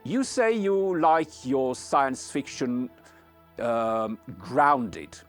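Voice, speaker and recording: A man's voice: medium pitch, expressive, very slow. Guy hosting a podcast, takes his time, voice goes up and down a lot, bit of music behind. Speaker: podcast host. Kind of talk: scripted podcast introduction and interview.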